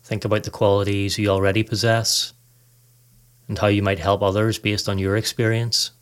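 A man with a fairly low voice leads a guided meditation from a script. His delivery is calm and measured, with very little rise and fall in pitch. He gives gentle instructions as a podcast host would to listeners.

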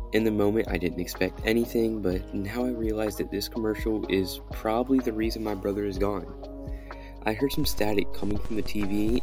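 A young man reading his own horror story as a podcast host, in dramatic first-person narration. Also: fairly low pitch. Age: young adult. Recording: music behind the voice.

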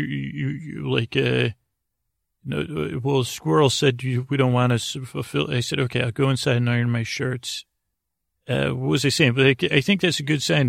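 A man with a very creaky, gravelly voice talks through a meandering bedtime podcast monologue. He stammers, doubles back and leaves thoughts half finished. The sound is dry and close, with no room echo. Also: mid-range voice, a bit bright. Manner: meandering, halting, stammering with repeated false starts. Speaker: podcast host.

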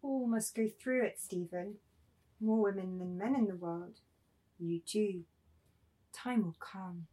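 A woman's voice: mid-range voice, takes it slowly, long pauses, dark tone, natural voice. A woman playing a dead mother's ghost, pious and menacing, in a fervent, solemn dramatic reading of literary fiction. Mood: solemn, tender.

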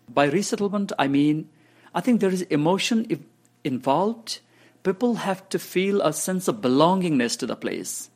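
Gravelly man explaining refugee resettlement work. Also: mid-range voice. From Bhutan. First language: Nepali. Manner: measured, explanatory, counts points off in order. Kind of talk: interview answers.

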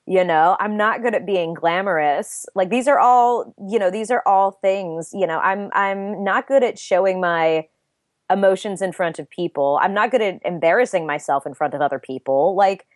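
Young woman, soothing lowish voice, chatty and reflective, lots of "you know", dry close sound. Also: brisk, no echo. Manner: soft, soothing, thoughtful, many restarts. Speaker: interview guest, writer and voice actor. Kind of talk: casual interview chat.

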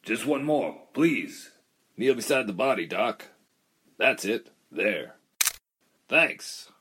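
A man's voice: mid-range voice, animated, slow pace. A man playing an easygoing, slangy press photographer with clipped phrases in a 1940s-style radio drama. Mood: directing. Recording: noisy, camera clicking behind.

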